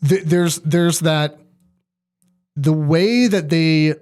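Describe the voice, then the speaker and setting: A man co-hosting a sports podcast, thinking aloud in a casual, measured and somewhat hesitant way. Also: fairly high pitch, darkish tone, smooth, soft background music.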